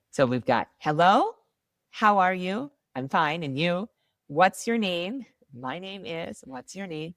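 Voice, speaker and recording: Slow, low-voiced woman teaching English. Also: no echo, clean studio sound. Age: 30s. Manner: slow model phrases, bright and upbeat. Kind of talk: slow, clearly enunciated beginner ESL lesson.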